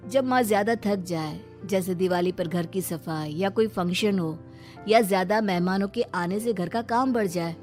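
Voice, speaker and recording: A woman's voice: medium pitch, moderate pace, a little mellow, close to an everyday voice. A woman voicing an earnest young married son in a cartoon moral story, explaining things in a straightforward way. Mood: explanatory. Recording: music behind the voice.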